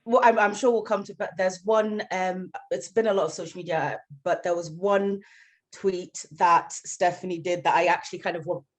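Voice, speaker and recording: A woman on a panel who is a member of a campaign, recounting events in an animated way. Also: lowish voice, moderate pace. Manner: animated storytelling with laughs. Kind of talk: casual panel discussion.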